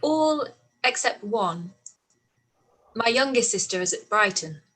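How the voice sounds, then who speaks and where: A woman playing a gracious young gentlewoman in a period radio play, composed and politely witty. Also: fairly high pitch, lively intonation, takes it slowly, husky, exaggerated voice, low-quality recording. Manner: polite, composed, lightly teasing. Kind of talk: live-read period radio play acting.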